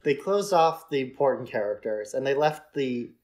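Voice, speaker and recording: A man's voice: mid-range voice, lively intonation, moderate pace, a little mellow. A man co-hosting a podcast, giving his opinions on films in informal, conversational speech. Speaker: podcast co-host. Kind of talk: casual film discussion chat.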